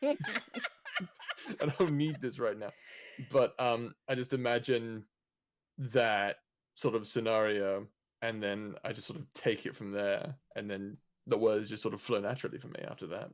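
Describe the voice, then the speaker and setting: A man's voice: on the low side, sing-song, measured pace. Easygoing joking man in casual chat. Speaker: voice actor, guest.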